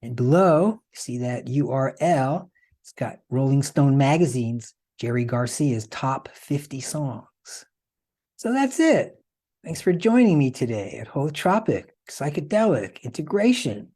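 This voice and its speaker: A man presents an informal explainer in a conversational way, often tossing questions to the listener. His pitch moves in a lively, animated range. The tone is mellow and relaxed, with a husky edge. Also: a little slow. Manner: conversational, checks in with rhetorical questions. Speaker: host and presenter.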